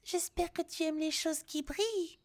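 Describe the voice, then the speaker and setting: A harpy girl in an ASMR roleplay with an extremely high, childlike voice. She is vain, flighty and scatterbrained, wandering from one thought to the next. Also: a little slow, darkish tone. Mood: flattered, eager.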